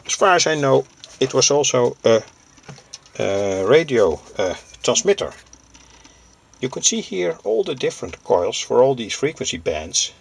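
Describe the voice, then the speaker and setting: A man from Europe presents an informal hobbyist demonstration at a slow, deliberate pace. His medium-pitched voice has a lot of creak. He speaks hesitantly, stopping often in the middle of sentences. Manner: halting, hesitant, pausing mid-sentence. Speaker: presenter, vintage radio hobbyist.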